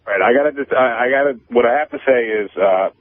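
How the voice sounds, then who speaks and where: A man calling in to a radio show over the phone, drinking and rambling casually in a halting, scattered way. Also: medium pitch, slight background noise. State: drinking vodka. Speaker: phone-in caller. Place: phone call from a parking lot. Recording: thin, tinny phone line.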